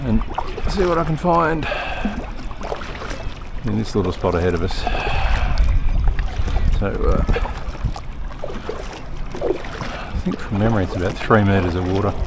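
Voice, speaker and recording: A man's voice: on the low side, unhurried, pauses often. Laid-back Australian man on a lake. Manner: laid-back, rambling, lots of fillers. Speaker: fishing vlogger. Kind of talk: casual narration while kayak fishing. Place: kayak on a lake outdoors. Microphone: action camera on the kayak. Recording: outdoor camera audio with wind and water noise. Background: wind and water noise.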